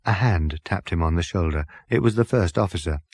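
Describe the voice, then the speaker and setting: A man's voice: low voice, fairly quick, a little mellow, slightly rough, dry recording. A man narrating comic fiction as an audiobook reader, working from a script.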